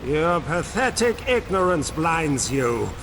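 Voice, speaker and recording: A haughty cult zealot in a video game, fairly high for a male voice. The delivery swoops theatrically with contempt and fanatic pride, over clear background noise. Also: sing-song, slow pace, heavily acted. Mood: contemptuous. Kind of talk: video game cutscene acting. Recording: noisy ambience behind the voice.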